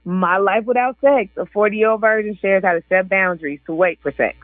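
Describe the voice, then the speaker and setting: A woman's voice: mid-range voice, moderate pace, no room sound. A woman in her forties, an author and guest on a casual radio interview, chatty and laughing often as she quotes other people. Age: 40s. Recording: dry tinny phone line.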